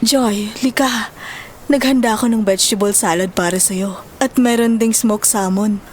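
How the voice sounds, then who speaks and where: A woman's voice: medium pitch, steady tone, moderate pace, close to an everyday voice. Woman playing caring stepmother, noisy audio. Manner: motherly, gently scolding. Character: caring, fussing, protective stepmother. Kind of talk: Filipino audio drama acting.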